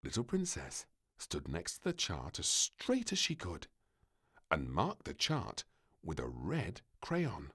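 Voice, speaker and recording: Casting: man with a very deep voice for slow, highly expressive children's story narration. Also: breathy edge.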